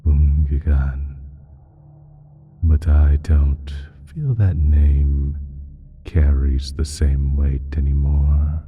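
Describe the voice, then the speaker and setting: A man playing an ancient, evil primordial being in a slow audio roleplay, speaking deliberately in a smug, menacing, condescending way with a husky, breathy voice. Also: a bass voice, highs cut off, full character voice. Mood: smug, mocking.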